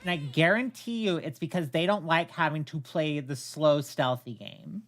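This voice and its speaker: Stammering woman streamer, lowish voice over music. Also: moderate pace. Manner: stammering, repeats words and restarts. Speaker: streamer and game server admin. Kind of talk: casual livestream chat.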